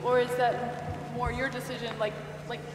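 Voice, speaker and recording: A woman in the audience asking a question, loud and eager. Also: fairly high pitch, level tone, normal speed, music in the background. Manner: loud and eager, self-conscious. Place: large church. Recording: distant, echoey church with noise.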